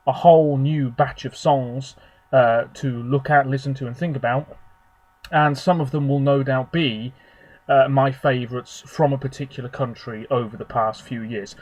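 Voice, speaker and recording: A man with a slightly hoarse, husky voice from a sore throat, chatting on his own channel. He talks in a rambling, relaxed way, giving his opinions one after another at a middle pitch. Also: normal speed. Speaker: video host. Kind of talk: casual solo commentary.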